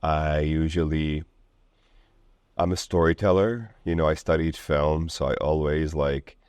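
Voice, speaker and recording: A man's voice: extremely low pitch, flat delivery, a little slow, a little mellow, clear, resonant tone, no room sound, clean studio sound. A man from Lebanon speaking as an interview guest in a casual, rambling way, full of filler words like "like" and "you know".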